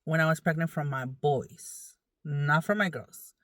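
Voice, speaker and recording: A woman's voice: low-pitched, a little slow, a bit bright, dry recording. Woman chatting casually, very dry sound. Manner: rambling, candid, conversational. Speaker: vlogger. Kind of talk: casual chat to viewers.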